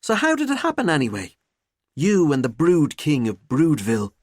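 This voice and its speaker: Woman doing a theatrical teen schoolgirl, teasing, mock-dramatic, raised swooping pitch, storybook dialogue. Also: huge pitch swings, normal speed, a little mellow, no room sound, clean studio sound, heavily acted. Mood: gleeful teasing.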